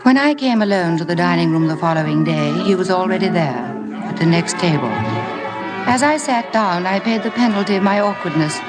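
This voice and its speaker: A woman's voice: on the low side, expressive, a little fast, lightly acted, low-quality recording. An actress playing a shy, awkward young woman, a polite and apologetic paid companion, in golden age radio drama style with hesitant speech. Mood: reflective narration. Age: young adult. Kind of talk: golden age radio drama acting with first-person narration. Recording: vintage radio broadcast with faint music.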